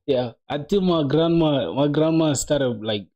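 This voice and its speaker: A man's voice: fairly high pitch, slow pace, mellow, dull audio. A man in his twenties chatting casually on a coding livestream, slangy and familiar with his viewers, talking to them like buddies. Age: 20s. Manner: slangy, addresses viewers as bro and dog. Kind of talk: casual live-stream chat while coding.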